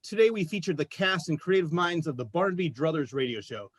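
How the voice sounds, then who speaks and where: A man's voice: a little high, fairly quick, no echo. A man hosting a casual interview show, welcoming guests and asking questions. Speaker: host of a local artist interview show.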